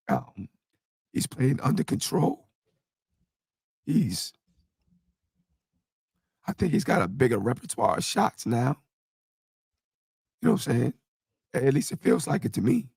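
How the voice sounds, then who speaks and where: A hoarse man hosts a casual fan podcast, his strained voice very gravelly and husky. He talks in an animated, rambling way, with a lively rise and fall. The sound is dry and close. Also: mid-range voice, no echo. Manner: animated, hype greetings, rambling. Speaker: podcast host.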